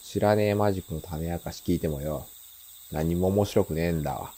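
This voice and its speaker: An aggressive young delinquent in a radio drama. His voice is very low and raspy, and he needles scornfully in rough, confrontational slang. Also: a little fast, mellow. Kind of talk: Japanese radio drama acting.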